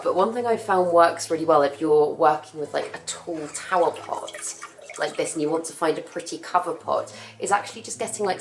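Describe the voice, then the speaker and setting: A young woman with a husky voice from a cough, chatting casually about her plants as she works. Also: sits low, poor audio. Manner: chatty, rambling, self-correcting. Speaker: plant care vlogger. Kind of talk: casual chat while working.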